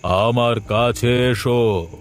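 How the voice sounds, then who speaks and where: A man's voice: mid-range voice, steady tone, drawn-out words. A man voicing a scheming, commanding occultist in a horror cartoon, speaking in an imperious, incantatory way. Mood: commanding, summoning. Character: scheming, commanding male occultist summoning restless souls. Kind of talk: Bengali horror cartoon acting.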